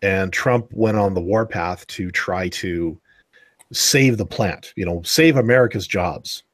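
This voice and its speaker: A man's voice: low-pitched, measured pace, no room sound, studio quality. A man co-hosting a podcast in casual chat, rambling and correcting himself as he talks. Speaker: podcast co-host.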